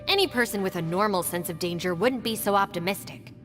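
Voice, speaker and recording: A woman's voice: mid-range voice, fast talker, big-room sound, over music, lightly acted. A woman playing a dry, sensible young deputy in a video game, wry and matter-of-fact as she teases her boss.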